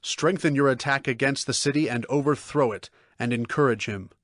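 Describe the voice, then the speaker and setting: A man's voice: mid-range voice, a little fast, dry recording, studio quality, close to an everyday voice. An actor playing a commanding, calculating king of ancient Israel in a dramatized scripture recording. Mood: calm, commanding. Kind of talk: dramatized scripture audio acting.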